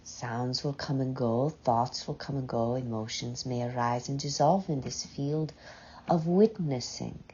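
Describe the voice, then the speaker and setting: Very slow, low-voiced woman guiding meditation. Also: a little hiss or hum. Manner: gentle, unhurried, with long pauses between short phrases. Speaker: guided meditation leader. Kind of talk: slow, soothing guided meditation. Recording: dull, muffled lo-fi sound.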